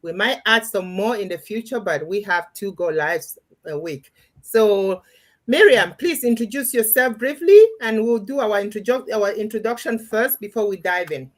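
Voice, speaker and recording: A woman's voice: medium pitch, expressive, moderate pace. Female moderator for an online panel, mid-range voice, organised hosting style. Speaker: host. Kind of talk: moderating a livestream. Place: remote online livestream call.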